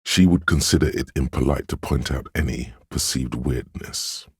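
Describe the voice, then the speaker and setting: A man voicing a grave, compassionate inner voice of empathy, narrating a game in a measured, intimate, solemn way. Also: no echo, clean studio sound, exaggerated voice. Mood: grave, perceptive. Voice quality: very deep, velvety, husky. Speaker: game voice actor. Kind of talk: scripted second-person game narration.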